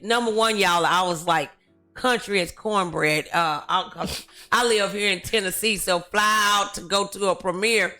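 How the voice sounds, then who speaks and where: Woman hosting, with a creaky, bright voice. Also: on the high side. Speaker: talk show host. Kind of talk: casual interview chat.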